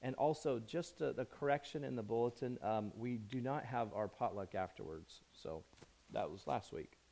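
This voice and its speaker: A hoarse man fighting off a chest cold gives a casual sermon from the pulpit. His voice sits at an ordinary middle pitch. He rambles, restarts his sentences and pokes fun at himself between points. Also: normal speed, darkish tone. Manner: chatty, self-joking, rambling with restarts. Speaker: pastor. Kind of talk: conversational sermon.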